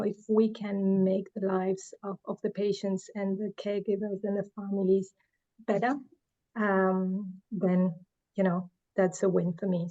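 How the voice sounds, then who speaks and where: A woman's voice: mid-range voice, dark tone, muffled recording. A middle-aged woman from Uruguay, speaking earnestly in a panel talk about her work in marketing, with restarts and fillers. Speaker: marketing manager.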